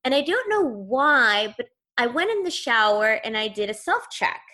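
Earnest higher-voiced woman guest. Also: very animated, normal speed, bright, forceful tone. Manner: earnest, conversational. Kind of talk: personal storytelling in interview.

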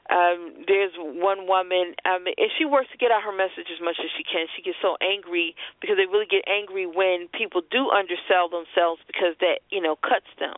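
A woman's voice: lowish voice, normal speed, few pauses, no room sound. A woman giving firm, explanatory advice in conversation on a call-in show. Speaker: co-host of a spoken word business show. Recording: thin telephone-line sound.

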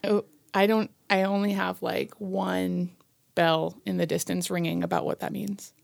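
A woman's voice: on the low side, little pitch movement, measured pace, creaky, dry recording, clean studio sound. An American woman co-hosting a casual chat in an easygoing, agreeable manner. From United States.

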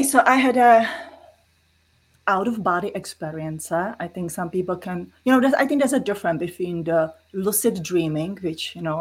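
A middle-aged woman with a Czech accent, earnestly explaining spiritual experiences as an interview guest in non-native English. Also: lowish voice.

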